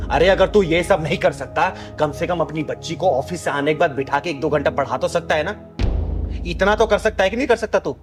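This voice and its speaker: A man's voice: on the high side, lightly acted. A man playing a stern, motherly kinnar elder sister who lectures and commands in a Hindi moral drama. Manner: preachy, commanding, rhetorical questions. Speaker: lead performer in moral drama sketch.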